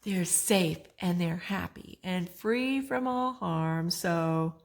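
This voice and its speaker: A woman reading a rhyming picture book aloud to children, expressively, pausing for a child to join in. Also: lowish voice, gravelly. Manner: expressive, prompting a child to fill in rhymes. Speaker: story reader and co-host.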